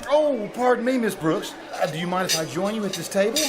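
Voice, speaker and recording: A man's voice: high-pitched, lively intonation, fairly quick, crowd noise. A man playing a mild, polite, oblivious biology teacher in an old-time radio comedy. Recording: live theater with audience noise.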